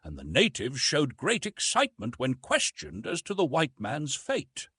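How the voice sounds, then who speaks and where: Guy doing a polite doubtful navy captain for an audiobook, formal, lively pitch, bit husky. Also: a little slow, no echo, clean studio sound, full character voice. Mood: skeptical, arguing. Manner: formal, reasoned, courteous. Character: skeptical, courteous French naval captain, adult man.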